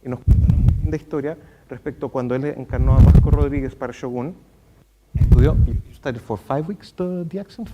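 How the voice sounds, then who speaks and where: A man hosting a question session, asking halting questions in English and translating fluently. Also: medium pitch, muffled recording, very noisy. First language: Spanish. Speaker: moderator and interpreter. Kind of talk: hosting a bilingual Q&A. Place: university auditorium.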